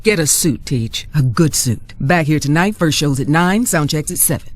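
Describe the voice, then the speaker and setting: A woman's voice: deep voice, lively intonation, normal speed. An actress playing a commanding female jazz bandleader who gives short, cool orders, in a noisy film clip. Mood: curt. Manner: curt and cool.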